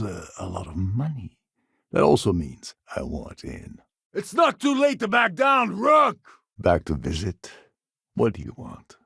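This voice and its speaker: An actor playing a gruff, cynical, self-serving space pirate in a video game, with a gravelly voice and a wry, sardonic, world-weary manner. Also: male voice, low-pitched, no echo, clean studio sound, exaggerated voice. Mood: sly, conspiratorial, then battle shouting. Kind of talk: game character dialogue lines.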